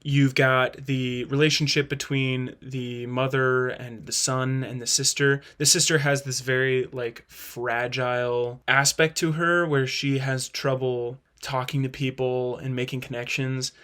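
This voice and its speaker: A man's voice: medium pitch, flat delivery, unhurried, few pauses, bright, forceful tone, smooth. Casually opinionated man, thinking aloud. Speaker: host. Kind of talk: casual spoken video review.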